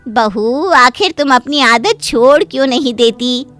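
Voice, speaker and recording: A woman's voice: high voice, unhurried, a bit bright, soft background music. A woman voicing an exasperated middle-aged mother-in-law in a cartoon moral story, stern and nagging. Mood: angry, scolding.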